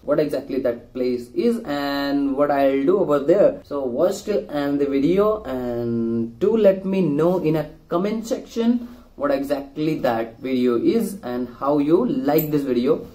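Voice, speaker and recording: Young indian guy, hindi native, doing a casual vlog, slightly high voice, relaxed sound. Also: measured pace. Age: young adult. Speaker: vlogger.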